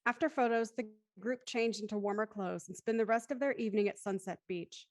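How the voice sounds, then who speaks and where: A woman's voice: mid-range voice, steady tone, brisk, darkish tone, no echo, studio quality. A woman reading scripted scene narration for an audio play as its narrator.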